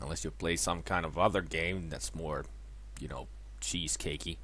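A man's voice: extremely low pitch, fairly even intonation, moderate pace, bright, forceful tone, a little hiss or hum. A man in his thirties with a sore throat, chatting casually over an old ski game as a let's player, rambling and correcting himself with bursts of cheering. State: sore throat, voice lower than usual. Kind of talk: casual gameplay commentary.

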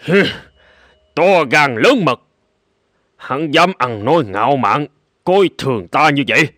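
A man's voice: on the high side, expressive, slow, fairly bright tone, no echo, studio quality, exaggerated voice. A man playing a haughty, menacing middle-aged king in a cartoon folk tale. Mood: angry.

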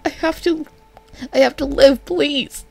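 Girl doing an anxious hurt guy pleading and stammering, high voice, slow, comic dub. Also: gravelly, a little mellow, no room sound, soft background music, full character voice. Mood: desperate pleading. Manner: halting, stammering, desperate pleading. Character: panicked, anxious young man, wounded and pleading. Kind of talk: amateur comic dub acting.

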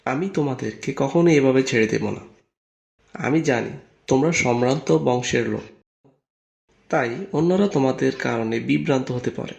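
A man plays a haughty cartoon king who speaks in measured threats. The voice is stern and gravelly, at a middling pitch. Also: darkish tone, reverberant, close to an everyday voice. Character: threatening pagan king addressing young rebels, stern, haughty.

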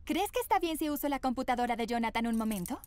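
A woman's voice: fairly high pitch, expressive, fast, music in the background, natural voice. An actress voicing a polite, friendly teenage fashion model girl in a cartoon. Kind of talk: animated cartoon dub acting.

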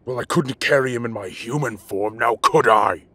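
A man playing a commanding, impatient but protective half-dragon mafia boss in an audio roleplay, with a rough voice and a bossy, scolding manner. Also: mid-range voice, moderate pace, fairly bright tone, no echo, lightly acted. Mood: irritated, scolding.

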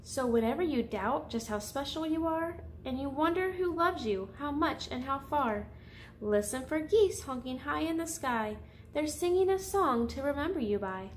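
A woman's voice: sits high, lively intonation, moderate pace, slight background noise. A woman reading a picture book aloud as a children's story-time host, speaking directly to her young listeners.

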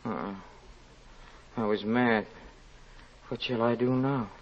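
An actor playing a proud, guilt-ridden, impoverished young murderer in an old-time radio drama, halting and overwrought. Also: male voice, mid-range voice, steady tone, a little hiss or hum, lightly acted. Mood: anguished, guilty. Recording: muffled old radio recording.

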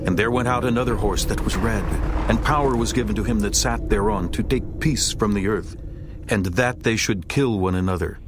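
A man's voice: on the low side, normal speed, noisy background. Solemn male scripture narrator. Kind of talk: dramatized scripture reading. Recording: narration over loud music.

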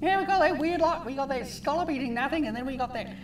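A woman voicing an excitable, grumbling fish in a children's puppet show, exclaiming and muttering incredulously. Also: high voice, fast talker, echoey room, recorded from a distance, natural voice. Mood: grumbling, anxious.

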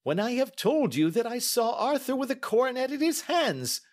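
A man's voice: high-pitched, very animated, measured pace, pitched above the performer's own voice, heavily acted. A man voicing an impatient, indignant older banker in emphatic, formal Victorian speech for an audiobook. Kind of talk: audiobook character acting.

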